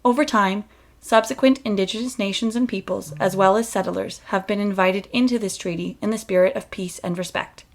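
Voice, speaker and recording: A woman's voice: medium pitch, steady tone, a little fast. A woman reading a scripted introduction in a formal, earnest manner. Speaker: company representative giving introduction. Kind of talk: scripted announcement, read aloud.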